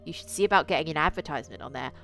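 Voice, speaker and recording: A woman's voice: low-pitched, very fast, creaky, fairly bright tone. A woman reading a folksy small-town gossip. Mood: gossipy. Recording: music behind the voice.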